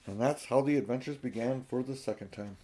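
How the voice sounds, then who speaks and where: A man's voice: medium pitch, brisk, warm tone, poor audio. An older man reading a children's storybook aloud, with casual, chatty asides. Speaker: audiobook reader.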